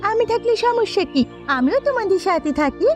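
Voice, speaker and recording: A woman voicing a sharp-tongued, nagging young village wife in a melodramatic cartoon. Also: extremely high pitch, lively intonation, fairly quick. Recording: music behind the voice.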